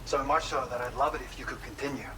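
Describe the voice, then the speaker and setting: A polite, earnest researcher played by a man in a video game. He talks in measured phrases at a middling pitch, sounding distant amid clear noise. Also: lightly acted. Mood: appreciative. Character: courteous, earnest male researcher. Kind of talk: video game dialogue acting.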